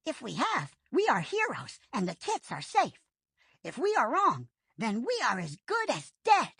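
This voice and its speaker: An eager young tomcat apprentice pleads earnestly, as a woman reader puts him on. His voice is lifted high and swings widely. The sound is dry and close, an audiobook reading. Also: wildly swooping pitch, measured pace, fairly bright tone, breathy edge, no room sound, exaggerated voice. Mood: grim. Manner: earnest and urgent.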